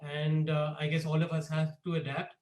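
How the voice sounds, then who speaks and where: A man co-founder giving a relaxed webinar presentation over a video call, with many fillers. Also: fairly high pitch, normal speed. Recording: echoey room.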